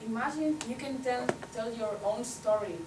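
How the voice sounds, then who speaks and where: A woman presenter speaks from a distance in an echoey conference room, with loud noise behind her. She pauses often with fillers as she explains her ideas. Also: a little high. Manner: hesitant, many fillers. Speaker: workshop presenter. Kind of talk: guided imagery then academic talk.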